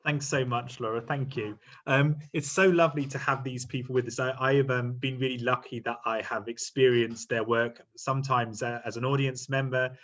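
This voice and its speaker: Man, middle-aged, panel host, friendly and halting, video call, slightly muffled audio. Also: mid-range voice, fairly even intonation, a bit bright. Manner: friendly, hesitant, many ums and uhs. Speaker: university lecturer hosting a panel. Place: home room.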